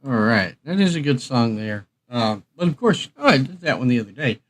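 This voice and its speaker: A man's voice: medium pitch, very animated, brisk, a little hiss or hum. A man casually chatting as host of a music livestream, in a rambling, hesitant way.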